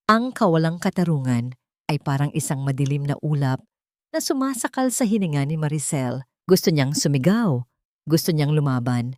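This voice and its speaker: A woman's voice: on the low side, a little mellow, no room sound, studio quality. A woman narrating a scripted dramatic story in a melodramatic, emphatic manner.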